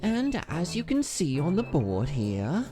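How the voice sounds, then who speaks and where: A man's voice: fairly high pitch, wildly swooping pitch, slow pace, music in the background, voice pushed up, exaggerated voice. A man voicing a stern, sardonic female schoolteacher in a visual novel, with a drawling, chiding manner. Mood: lecturing.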